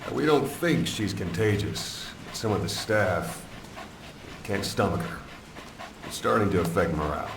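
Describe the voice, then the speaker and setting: An actor playing a sombre military officer in a video game cutscene, speaking in measured, clipped phrases. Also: male voice, low voice, expressive, gravelly, reverberant, distant mic, background noise. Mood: grave, guarded. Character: sombre male military intelligence officer explaining a strange prisoner.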